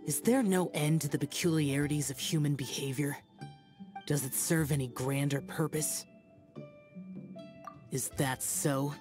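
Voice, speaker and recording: A man's voice: on the high side, steady tone, measured pace, long pauses, natural voice. A man playing an aloof, world-weary immortal guardian spirit of youthful voice in a video game, speaking tersely in a solemn, formal, disdainful manner. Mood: puzzled, disdainful. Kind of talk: video game character acting. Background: game music.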